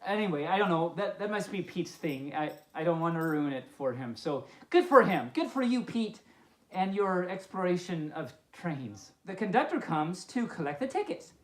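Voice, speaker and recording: A woman's voice: lowish voice, big pitch swings, moderate pace. A woman hosting a children's story time as a friendly pup, reading expressively and adding playful, amused asides. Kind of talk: expressive storybook read-aloud with chatty asides. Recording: roomy, echoing indoor sound.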